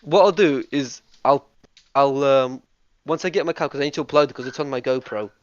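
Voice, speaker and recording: A man's voice: mid-range voice, fairly quick, no echo. Eager man rambling in dry lo-fi chat. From Russia. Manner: eager, talks in bursts with many restarts and fillers. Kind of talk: casual group voice chat.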